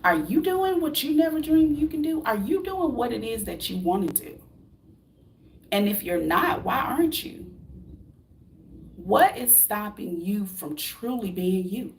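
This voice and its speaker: A woman's voice: fairly high pitch, big pitch swings, a little slow, a little hiss or hum. A woman in her late thirties, from the United States, giving a blunt, preachy motivational talk to camera, full of rhetorical questions and casual swearing. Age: 30s. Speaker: vlogger.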